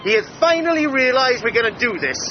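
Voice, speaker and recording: An actor playing a proud, moody gentleman adventurer in a radio drama. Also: very high-pitched, big pitch swings, fairly quick, a faint crowd. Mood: excited, resolute. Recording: dull sound with faint background noise.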